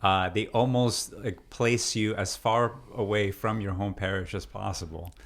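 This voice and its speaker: Very deep-voiced man, reflective. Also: steady tone, a little slow. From Peru. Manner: reflective, many fillers and restarts. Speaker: deacon and seminarian, interview guest. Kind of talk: casual interview conversation.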